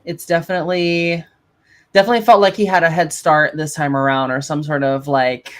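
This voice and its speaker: A woman's voice: deep voice, steady tone, brisk. A woman who is a drag performer, speaking as a guest in a casual interview, thoughtful and hesitant with many fillers.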